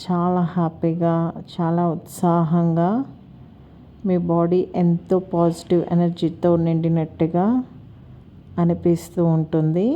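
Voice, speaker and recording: A woman leads a guided meditation as a teacher, calm and soothing, with long measured pauses. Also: fairly low pitch, dull audio, slight background noise.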